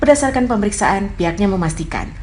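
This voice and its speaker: Woman reading a news voice-over, mellow tone. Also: mid-range voice, quick pace, slight background noise. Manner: steady, even reading pace. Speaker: news reporter.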